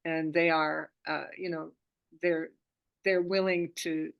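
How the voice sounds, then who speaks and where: A woman's voice: deep voice, level tone, slow pace, gravelly, smooth, highs cut off. An older woman speaking on a video call from home as a guest presenter, chatty and rambling, often restarting her sentences.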